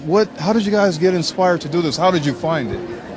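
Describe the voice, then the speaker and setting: A man's voice: high-pitched, brisk. A man hosting a casual interview, upbeat and enthusiastic with the performers. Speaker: show host interviewing performers. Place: outdoor reception. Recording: crowd chatter behind. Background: crowd chatter.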